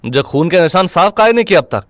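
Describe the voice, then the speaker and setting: A man's voice: high voice, big pitch swings, fast talker, a bit bright, no echo. An actor playing a brusque, irritable young man in an audio drama, curt and grumbling. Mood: troubled, irritated. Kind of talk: Hindi audio drama acting.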